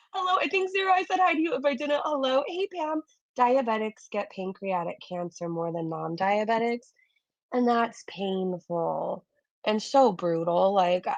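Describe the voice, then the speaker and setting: A woman's voice: medium pitch, vocal fry, no echo, highs cut off. A woman hosting a casual gossip livestream who is losing her voice. She swears freely, laughs often and greets chat members by name.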